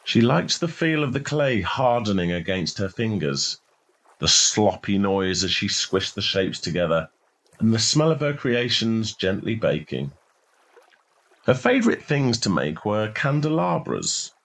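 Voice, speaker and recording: A man's voice: fairly low pitch, big pitch swings, a little slow, a bit bright. A man reading a short story in a scripted narration style.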